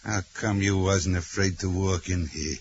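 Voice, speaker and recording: An actor playing a gruff, suspicious convict ringleader, slangy and belligerent, in a 1940s radio drama. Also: male voice, sits low, steady tone, a little slow. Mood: defiant, suspicious. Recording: muffled, noisy 1940s radio recording.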